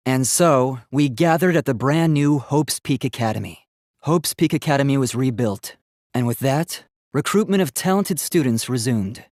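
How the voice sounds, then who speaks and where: A man's voice: medium pitch, expressive, normal speed, darkish tone, no echo, studio quality, lightly acted. A man playing an earnest young male robot student in a video game, speaking in a precise, polite and formal way. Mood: explanatory.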